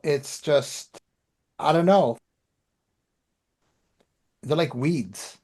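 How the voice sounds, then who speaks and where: A man's voice: on the high side, very slow, darkish tone, husky. A man co-hosting a podcast, chatting casually and anecdotally in colloquial language, often acting out remembered exchanges. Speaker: podcast co-host and author.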